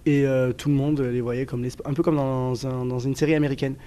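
A man in his twenties from southern France, speaking with a southern French accent as a guest in a casual interview, often pausing with fillers and restarting his sentences. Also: medium pitch, level tone, warm tone, clean tone. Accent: southern French, Toulouse area. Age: 20s. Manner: hesitant, many fillers and restarts.

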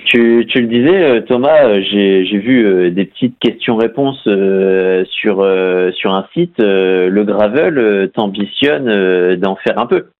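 Man, co-host, low voice, slow, hesitant, lo-fi phone sound. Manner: slow, hesitant, many fillers. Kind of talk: casual interview question.

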